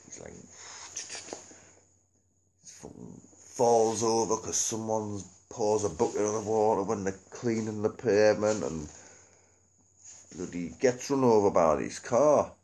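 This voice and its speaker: Low-voiced British man, animated pitch. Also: unhurried, pauses often, a little hiss or hum, poor audio. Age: middle-aged. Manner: sweary, colloquial, chuckling often. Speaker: amateur DVD reviewer. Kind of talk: casual rambling chat to camera.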